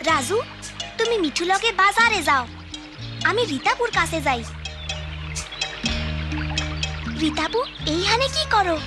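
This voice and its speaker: A woman's voice: very high-pitched, animated, moderate pace, over loud music. A woman voicing an earnest, caring and outspoken young village girl in a cartoon. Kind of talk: cartoon voice acting.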